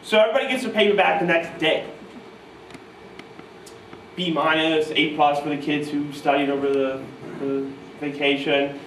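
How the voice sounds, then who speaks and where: A man in his thirties from New York state, telling animated, self-mocking stories to a live audience at a guitar clinic. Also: a little high, normal speed, long pauses, a little hiss or hum. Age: 30s. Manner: animated, self-mocking, mimics voices in quotes. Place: music store clinic room, audience present. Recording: roomy live sound from far off.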